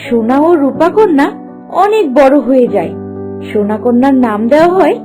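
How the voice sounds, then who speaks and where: A woman storyteller reading scripted fairy-tale narration in an expressive storybook manner. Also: high-pitched, normal speed, over music.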